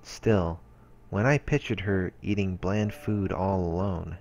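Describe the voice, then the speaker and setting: A man narrating a visual novel aloud in a measured storytelling manner. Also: deep voice, fairly even intonation, darkish tone, a little hiss or hum. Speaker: let's-play narrator. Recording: voice-over with music behind. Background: game music.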